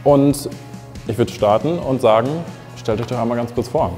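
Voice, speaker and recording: Young male host, mellow voice, casual questions, roomy studio, faint music. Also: mid-range voice, moderate pace. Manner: interviewing, hesitant with fillers. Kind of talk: casual interview chat. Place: photo studio with grey backdrop.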